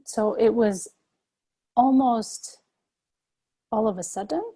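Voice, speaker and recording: A woman hosting a podcast, asking gentle questions and affirming her guest. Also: on the high side, slow, husky. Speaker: podcast host. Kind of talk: interviewing a guest.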